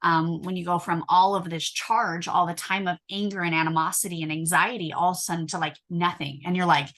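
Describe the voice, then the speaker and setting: A woman hypnotherapist chatting in an interview, telling her own story with many fillers and frequent throat-clearing. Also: on the low side, steady tone, brisk, fairly bright tone. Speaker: hypnotherapist guest.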